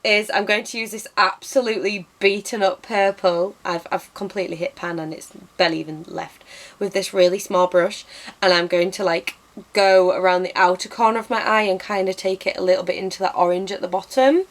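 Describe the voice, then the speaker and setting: Young woman, slightly hoarse, chatty and self-deprecating, home webcam vlog. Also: mid-range voice, steady tone, fairly quick. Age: young adult. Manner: chatty and self-mocking, lots of ums and restarts. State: slightly hoarse, losing her voice. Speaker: beauty vlogger. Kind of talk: casual makeup tutorial chat. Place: bedroom with bookshelves, indoors.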